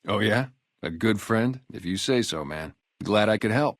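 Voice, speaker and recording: A man's voice: fairly low pitch, lively intonation, normal speed, dry recording, studio quality. A man acting a video game character, a guarded, loyal ex-gang sniper on town security, talking in a casual, streetwise way. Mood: earnest, friendly. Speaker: security guard and former soldier.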